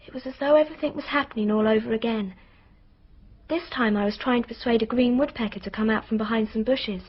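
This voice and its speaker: A young girl witness in a radio drama, with a high, breathy voice. She is earnest and hesitant, as if confiding a secret. The recording sounds dull and muffled. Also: fast. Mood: haunted recounting.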